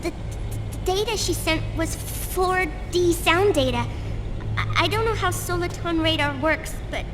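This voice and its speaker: A woman voicing a shy, earnest little girl prodigy in a video game, with a small, stammering, halting voice. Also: very high-pitched, over music. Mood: shy, proud. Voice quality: small, childlike. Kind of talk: video game cutscene acting.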